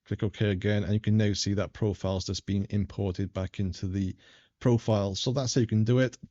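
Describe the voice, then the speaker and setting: A man with a low, dark and mellow voice presents a how-to screen tutorial. He moves through the steps in a plain, methodical manner. The recording is a little dull and lo-fi, lacking crispness on top. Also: fairly even intonation, brisk. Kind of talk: step-by-step screen tutorial narration.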